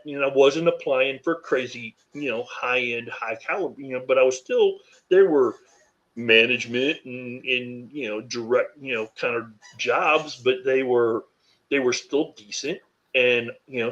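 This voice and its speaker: A deep-voiced man from North Carolina, a podcast guest speaking candidly and reflectively, with frequent "you know" fillers. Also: moderate pace, fairly bright tone, highs cut off. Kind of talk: candid interview conversation.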